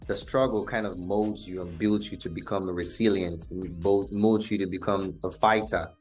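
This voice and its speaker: A young man from Nigeria, a musician guest, explaining things in a measured, earnest way in an interview. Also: deep voice, fairly even intonation, darkish tone, soft background music. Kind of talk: interview conversation.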